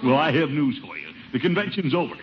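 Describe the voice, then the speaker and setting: An American actor playing a blustering, excitable middle-aged householder in a theatrical 1950s radio comedy style. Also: male voice, a little high, fast talker, a crowd in the background. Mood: smug, defiant. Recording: muffled, faintly noisy 1950s radio.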